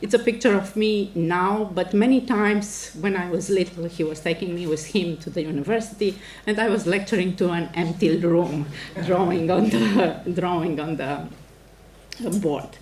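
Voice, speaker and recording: A woman's voice: fairly low pitch, normal speed, a little mellow, rough recording. A middle-aged woman from Romania with a Romanian accent, a native Romanian speaker, giving a formal academic address as a professor. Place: indoor lecture event before an audience.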